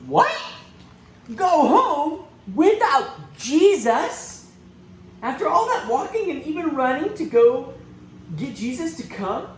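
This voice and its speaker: Desperate, earnest father for a children's storybook, read by a man: raised pitch, emphatic, echoey room. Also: very animated, slow, exaggerated voice. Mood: incredulous. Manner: emphatic, pleading and exclamatory. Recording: roomy echo, faint background noise.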